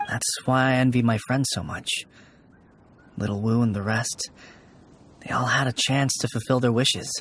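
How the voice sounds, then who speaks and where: A man's voice: medium pitch, steady tone, moderate pace, long pauses, fairly bright tone, natural voice. A man playing an earnest, melancholy young funeral parlor worker in a video game, mild and sincere. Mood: wistful. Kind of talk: video game character dialogue. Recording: game music behind the voice.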